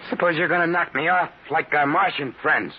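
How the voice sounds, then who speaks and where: An actor playing a tough-talking, agitated private investigator in an old radio drama, urgent and slangy. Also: male voice, fairly high pitch, fairly quick, close to an everyday voice. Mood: wary, defiant. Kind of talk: 1950s radio drama acting. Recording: dull, noisy old radio recording.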